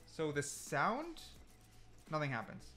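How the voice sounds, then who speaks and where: A man's voice: a little high, wildly swooping pitch, slow, bright, forceful tone, soft background music. A man giving casual, slangy gameplay commentary, thinking aloud as he goes. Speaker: gaming content creator.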